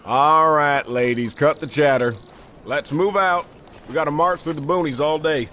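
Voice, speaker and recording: Curt American squad leader, jungle ambience. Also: male voice, on the high side, normal speed, pushed and bright, slight background noise. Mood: curt, resolute. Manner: curt, commanding. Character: gruff, no-nonsense male American squad leader. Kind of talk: video game cutscene dialogue.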